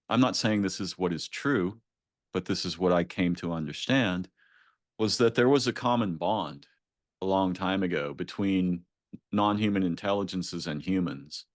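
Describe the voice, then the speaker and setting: A man's voice: low-pitched, dry recording, clean studio sound. A man hosting a conversational interview, speaking reflectively and often checking in with "right?". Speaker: podcast host.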